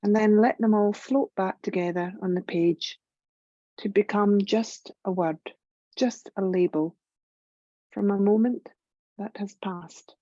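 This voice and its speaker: A woman guiding a yoga relaxation meditation in a gentle, soothing, measured way, leaving long pauses. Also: medium pitch, fairly even intonation, no room sound, muffled recording. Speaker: yoga nidra meditation guide.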